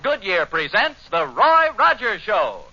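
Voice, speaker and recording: A man's voice: high voice, huge pitch swings, measured pace, muffled recording, loud music behind the voice. A man with an American accent announcing a sponsored 1940s radio show in an emphatic, declamatory style, on a band-limited old recording.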